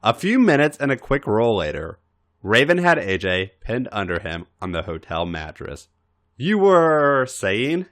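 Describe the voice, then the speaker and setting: A man's voice: deep voice, sing-song, moderate pace, a bit bright. A man hosting a podcast and reading scripted erotic fan fiction as narrator. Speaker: podcast host and story reader.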